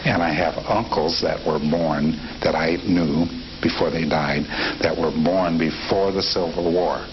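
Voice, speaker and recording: A man's voice: extremely low pitch, measured pace. A man in his fifties from Nebraska, recalling his childhood in a reflective interview, with frequent fillers and restarts. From Nebraska, USA. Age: 50s. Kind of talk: relaxed oral history interview. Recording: dull lo-fi tape with steady hiss.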